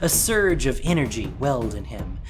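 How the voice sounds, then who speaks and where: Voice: medium pitch, big pitch swings, moderate pace. A narrator reading a scripted fantasy story in a dramatic audio drama style. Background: soft music.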